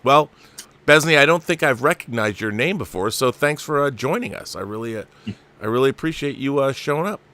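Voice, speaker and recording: A man's voice: medium pitch, animated, fairly quick, pushed and bright. A man hosting a casual live show, chatting enthusiastically with rambling asides and reading viewer comments aloud.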